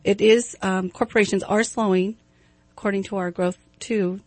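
A soft-spoken woman talks through market news as a guest on morning radio. Her plain, unhurried delivery is dry and close in the studio, with a few ums along the way. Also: mid-range voice, steady tone, no room sound. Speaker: guest giving weather and market update. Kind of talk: radio talk chat. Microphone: studio microphone.

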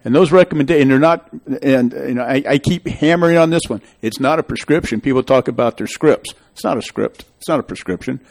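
Man, middle-aged, meeting host, rambling, emphatic, mid-pitched voice. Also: brisk, vocal fry, hardly any pauses, darkish tone. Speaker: local official hosting a public meeting show. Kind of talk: town meeting discussion, opinionated.

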